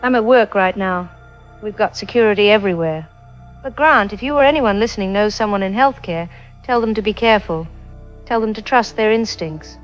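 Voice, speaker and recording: A woman's voice: medium pitch, fairly quick, faint music behind, close to an everyday voice. A woman playing an alarmed, earnest healthcare worker phoning a radio show in an audio drama, urgently warning listeners. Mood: earnest, cautioning. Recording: dull lo-fi call-in sound.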